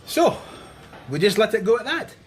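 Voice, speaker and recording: An actor playing a sardonic Scottish police inspector in a radio drama, with a dry, needling manner. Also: male voice, high voice, sing-song, normal speed, a little hiss or hum. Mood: brisk, sardonic.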